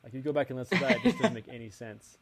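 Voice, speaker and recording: A young man in casual podcast chat, animated and playful as he tells stories. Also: mid-range voice, very fast, noisy background. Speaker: podcast co-host, guest.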